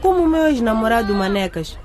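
A woman's voice: a little high, lively intonation, quick pace, crowd noise, close to an everyday voice. A woman playing a worldly, cynical, bossy teenage schoolgirl and best friend in a radio drama. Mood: cynical, soothing.

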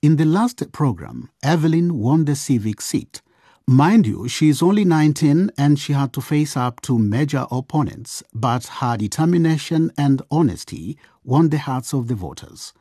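Husky mellow guy narrating a radio drama, lively intonation, dry studio sound. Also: a little high, normal speed, no echo. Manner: expressive storytelling.

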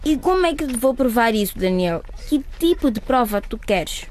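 A woman's voice: a little high, lively intonation, moderate pace, a little mellow, lightly acted. Woman as a coy, lilting schoolgirl. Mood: hesitant, uneasy. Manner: hesitant, coy. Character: shy, cautious schoolgirl unsure about her suitor. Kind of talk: radio drama acting.

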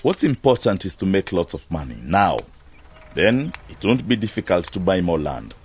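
A man's voice: low-pitched, very animated, normal speed, darkish tone, a little hiss or hum. An actor playing a proud, hard-headed farmer and businessman in a radio drama, self-assured and matter-of-fact. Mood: dismissive.

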